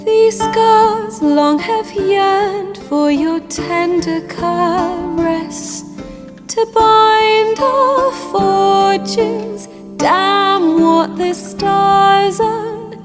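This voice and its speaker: A woman singing a slow, lyrical ballad. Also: very high voice. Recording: music with guitar behind. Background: guitar music.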